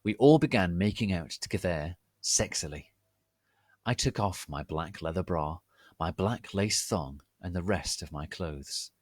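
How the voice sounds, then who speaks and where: Theatrical man reading, widely swooping pitch. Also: expressive, measured pace, breathy edge, dry recording. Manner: theatrical, exaggerated, reads typos literally. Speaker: reader. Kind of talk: dramatic reading of fan fiction.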